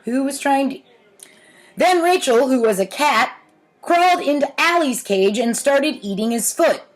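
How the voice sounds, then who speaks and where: Female storytime host, higher register, unhurried pace, theatrical reader with wry offhand asides. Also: animated, soft background music, rough recording. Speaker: host reading aloud childhood writing. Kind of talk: storytime reading with casual commentary.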